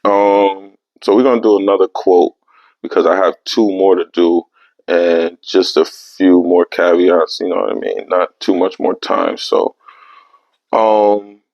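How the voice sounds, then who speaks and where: A young man hosting his own podcast, talking in a casual, animated way with streetwise slang. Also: low-pitched, unhurried, vocal fry, no echo. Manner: animated, streetwise slang, repeats "you know what I mean". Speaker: podcast host. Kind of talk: casual solo podcast monologue. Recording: dry, slightly dull lo-fi sound.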